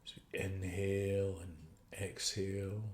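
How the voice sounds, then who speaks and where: A man with a fairly low voice leads a guided meditation. He speaks very slowly and gently, leaving long pauses between phrases. The recording is roomy and echoey, like a video call made indoors. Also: flat delivery, slight background noise. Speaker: meditation teacher. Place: indoor room.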